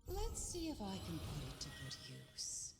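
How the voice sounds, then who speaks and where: A woman's voice: medium pitch, wildly swooping pitch, normal speed. A woman playing an arrogant, menacing female villain spirit in a video game, with a theatrical, taunting delivery. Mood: gloating. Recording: long reverb, music over the voice.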